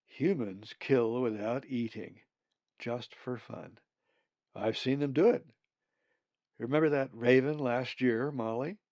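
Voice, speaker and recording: Man voicing a blunt, folksy raven, gravelly. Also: medium pitch, slow, a little mellow, no echo, highs cut off. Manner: emphatic, folksy talk. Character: blunt, sly, hungry male raven.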